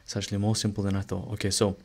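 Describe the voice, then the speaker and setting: A male teacher narrating a screen-recorded lesson, a little hoarse as he is losing his voice, talking through each step with fillers and restarts. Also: fairly low pitch, rapid-fire, a little hiss or hum. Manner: relaxed, thinking aloud with fillers and restarts.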